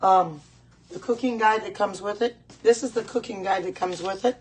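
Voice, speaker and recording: A middle-aged woman with a hoarse voice, chatting casually and repeating herself as she hosts a live cooking demonstration. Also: mid-range voice, normal speed, darkish tone, a little hiss or hum. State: hoarse voice, clearing throat at times. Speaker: host of a live home cooking stream. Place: home kitchen.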